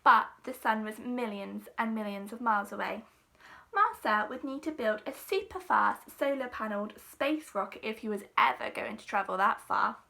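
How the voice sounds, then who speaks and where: Female teacher as storybook reader, high and very bright voice, expressive delivery with dramatic emphasis. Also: moderate pace, vocal fry. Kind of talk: expressive children's storybook reading.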